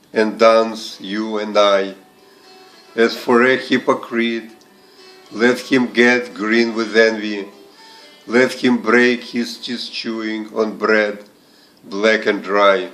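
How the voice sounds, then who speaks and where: Slow, even-voiced man reciting poetry over music. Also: on the low side, rough recording. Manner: deliberate, with short phrases and pauses. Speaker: poetry reader and translator.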